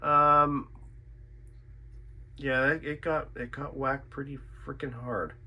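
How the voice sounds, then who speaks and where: A man's voice: medium pitch, fairly even intonation, slow, pushed and bright. A man chatting casually and thinking aloud as he works through a repair, often asking himself questions. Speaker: radio repairer presenting own video. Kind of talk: casual chat while doing a repair.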